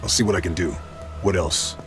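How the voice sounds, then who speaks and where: An actor playing a gruff, laconic wartime sniper in a video game, speaking in terse, hard-boiled lines. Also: male voice, deep voice, fairly even intonation, a little fast, music in the background, natural voice. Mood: businesslike. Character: gruff, laconic male WWII special operations sniper. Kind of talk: video game cutscene acting.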